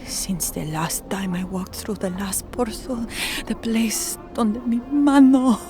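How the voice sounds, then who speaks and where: A woman's voice: mid-range voice, fairly even intonation, takes it slowly, music in the background, close to an everyday voice. A woman playing a frightened, half-mad middle-aged woman in an audio drama, with a Spanish accent and fragmented speech that slips into Spanish. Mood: haunted, dazed.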